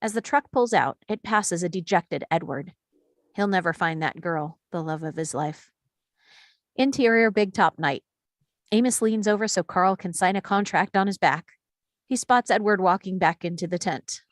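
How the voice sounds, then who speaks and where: A woman's voice: lowish voice, normal speed, no room sound, clean studio sound. Woman reading screenplay directions. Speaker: reader of stage directions. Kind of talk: reading screenplay action lines.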